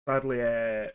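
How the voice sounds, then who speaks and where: A man with a slightly hoarse voice, hosting a casual, rambling hobby livestream in an informal way. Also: medium pitch, bright, forceful tone. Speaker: live stream host.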